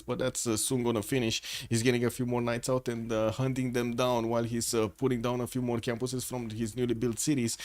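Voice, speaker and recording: A man's voice: mid-range voice, darkish tone. A man hosting a livestream, giving rambling live commentary on a strategy game match and greeting chat viewers. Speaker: stream host and caster.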